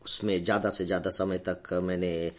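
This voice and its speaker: Hoarse older man, measured talk. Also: sits low, little pitch movement. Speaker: educator addressing students. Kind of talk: motivational talk to camera.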